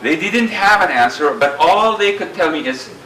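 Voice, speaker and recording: Middle-aged man with a booming voice giving a dinner speech, bright and forceful, heard from a distance in a big echoey hall. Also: expressive, normal speed, slight background noise. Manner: earnest storytelling with fillers. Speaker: speaker at a community dinner. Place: large banquet hall. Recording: roomy hall sound, distant mic.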